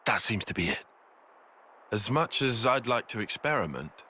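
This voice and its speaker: A man's voice: lowish voice, sing-song, moderate pace, sharp tone, exaggerated voice. A man playing a logical, cautious young soldier in a video game, with formal, precise wording. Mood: analytical. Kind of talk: video game cutscene acting.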